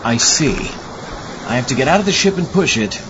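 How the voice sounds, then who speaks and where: An eager, gullible zombie astronaut host, acted by a man, delivers scripted comic horror patter with very lively intonation. The audio is dull, with clear background noise. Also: mid-range voice, moderate pace, natural voice. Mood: eager, puzzled.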